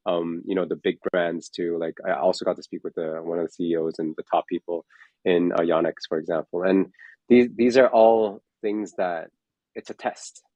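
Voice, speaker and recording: Deep-voiced rambling man in his forties. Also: fairly even intonation, normal speed, dark tone, no room sound, rough recording. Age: 40s. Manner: rambling, filler-heavy, restarts often. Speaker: fitness coach and entrepreneur. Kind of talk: casual panel chat.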